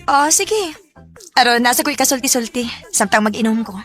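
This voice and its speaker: A woman with a Visayan Filipino accent playing a coy, soft-spoken young woman being courted in a radio drama. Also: on the high side, moderate pace, soft background music, natural voice. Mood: tipsy, friendly. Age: young adult. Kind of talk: Bisaya radio drama acting.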